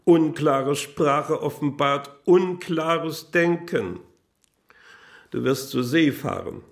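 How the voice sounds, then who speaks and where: An actor playing a pedantic, weary, condescending male schoolteacher with a fussy, drawn-out way of talking in a children's radio play. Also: a little high, roomy sound. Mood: pedantic, impatient. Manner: fussy, stammering at times, drawn-out emphasis. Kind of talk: German children's radio drama acting.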